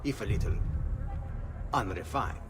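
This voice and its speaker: An actor playing a courteous, measured leader of a thieves' guild in a game cutscene, with an Italian accent and deliberate, polite delivery. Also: male voice, fairly low pitch, background noise, close to an everyday voice. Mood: knowing, composed. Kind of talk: video game cutscene acting. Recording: long reverb, music behind.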